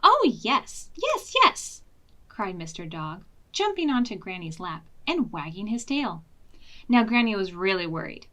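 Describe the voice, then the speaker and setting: A woman reading picture books aloud to young children as a storytime host, with expressive, deliberate phrasing and hushed dramatic asides. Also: mid-range voice. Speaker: children's librarian hosting preschool storytime.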